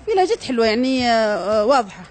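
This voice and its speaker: A woman's voice: on the high side, big pitch swings, racing, a little hiss or hum. A woman from Sanaa with a Sanaani accent chatting agreeably in a street interview. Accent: Sanaa Yemeni. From Sanaa, Yemen. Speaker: passerby interviewee.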